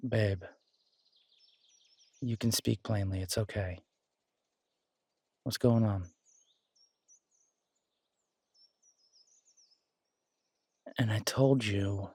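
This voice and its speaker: A man playing an affectionate, easygoing werewolf boyfriend who tinkers with bikes, speaking casually and haltingly in an intimate roleplay audio drama. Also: fairly low pitch, steady tone, breathy edge, no room sound. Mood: gentle, reassuring.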